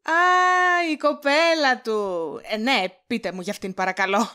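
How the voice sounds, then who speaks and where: A woman's voice: a little high, pushed and bright, smooth. A woman playing a nosy, impatient publishing director in an audio drama, probing and falsely polite.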